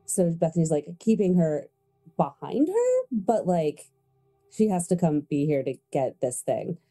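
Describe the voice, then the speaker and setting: Very mellow, low-pitched woman player. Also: sing-song, unhurried, soft background music. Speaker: player in a tabletop roleplaying game. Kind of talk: casual in-character narration of her character's actions.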